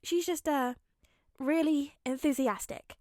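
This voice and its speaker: Woman voicing an earnest high-pitched teenage boy. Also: takes it slowly, dark tone, dry recording, studio quality. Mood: sheepish. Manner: earnest, hesitant, rambling. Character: teenage boy, bitter aspiring villain, earnest and eager.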